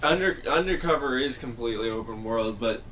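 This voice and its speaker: A man on a casual podcast panel, interjecting in short, halting remarks. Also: mid-range voice, lively intonation, pushed and bright. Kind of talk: casual chat. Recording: slightly distant mic, faint noise.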